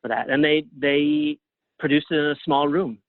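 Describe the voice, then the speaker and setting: A man's voice: on the high side, fairly even intonation, normal speed, no room sound. Male interview guest on a phone-like line. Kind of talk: casual conversational interview.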